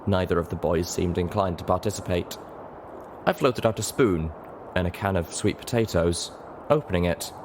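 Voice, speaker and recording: Deep guy reading fiction out loud, really animated, bit of noise behind him. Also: normal speed. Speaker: audiobook narrator. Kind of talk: expressive scripted story narration.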